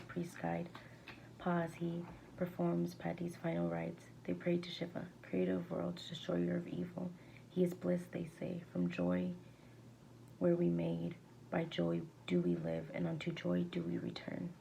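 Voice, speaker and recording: A woman reading a verse novel aloud as an amateur, with a creaky, gravelly voice and a halting delivery that sometimes stumbles and rereads words. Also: lowish voice, mellow, poor audio. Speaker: amateur reader. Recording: faint background noise.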